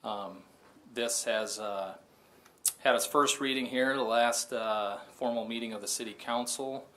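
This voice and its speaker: An American man, a public works director, delivering a formal staff report in a halting, matter-of-fact manner. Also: medium pitch, sharp tone.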